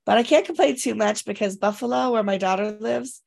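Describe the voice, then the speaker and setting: Raspy, congested woman chatting. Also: medium pitch, brisk, darkish tone. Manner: chatty, rambling, with many restarts and fillers. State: hoarse and congested after illness. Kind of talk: casual chat.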